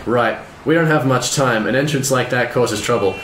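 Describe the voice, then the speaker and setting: An actor playing an easygoing smuggler pilot and crew leader in an audio drama, casual and dry. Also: male voice, medium pitch, moderate pace, close to an everyday voice. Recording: roomy with faint background noise.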